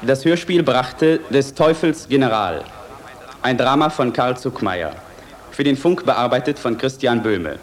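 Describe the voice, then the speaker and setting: A man working as a radio announcer, reading scripted credits in a formal, measured way. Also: medium pitch, lively intonation.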